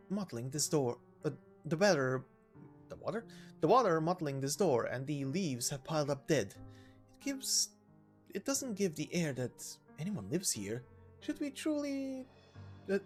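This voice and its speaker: A man's voice: high-pitched, a little mellow, voice pushed up, heavily acted. A man voicing a polite, eager young game character with a courteous, formal, slightly hesitant way of speaking.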